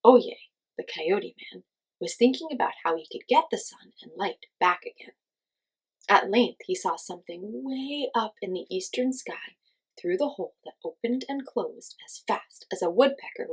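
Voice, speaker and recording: A woman reading a children's story aloud as host, in an expressive storytelling style. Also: medium pitch, husky, rough recording.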